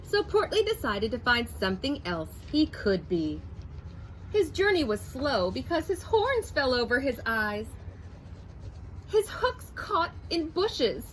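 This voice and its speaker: A woman teacher reading a picture book aloud to her first graders, in a slow, expressive storytelling voice. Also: sits high, a little hiss or hum, low-quality recording.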